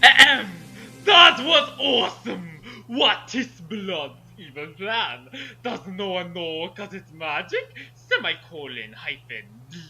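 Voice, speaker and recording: Male co-reader, high-pitched and piercingly bright, casual geeky joker. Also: sing-song, slow, hardly any pauses, soft background music, low-quality recording. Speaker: co-reader and commentator. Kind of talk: casual joking commentary and mock read-aloud.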